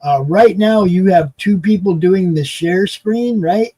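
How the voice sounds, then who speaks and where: Man, early sixties, high voice, soft mellow tone, casual show host chat, repeats himself. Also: unhurried, no room sound. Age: early 60s. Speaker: streaming network host.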